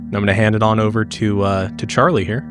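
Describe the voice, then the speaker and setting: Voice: medium pitch, fairly even intonation, fast talker, over music. A man casually introducing himself as a player on a tabletop roleplaying show.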